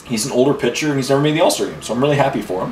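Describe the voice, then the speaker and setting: A man at home talks as he paints, rambling in an easy, chatty way and filling the pauses with "um" and "you know." His voice sits at a middle pitch, a little off mic, and it rings in an echoey room. A faint noise runs underneath. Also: darkish tone. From Ireland and Canada. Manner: rambling and chatty, with many fillers and asides. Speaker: miniature painting host. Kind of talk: casual chat while painting. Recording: roomy echo, voice a bit far from mic, faint noise.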